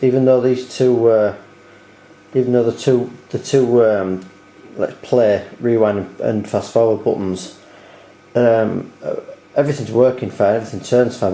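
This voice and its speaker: Mellow British man in an echoey room. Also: on the low side. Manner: hesitant, rambling, often repeating words. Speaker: video host showing a repair. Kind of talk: unscripted hands-on demonstration, casual chat. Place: indoors at home. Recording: roomy, echoing indoor home recording.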